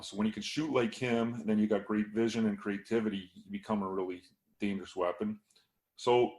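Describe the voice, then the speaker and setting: Gravelly-voiced man losing his voice. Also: lowish voice. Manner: relaxed, many "uh" fillers. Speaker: hockey scout. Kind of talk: casual podcast analysis.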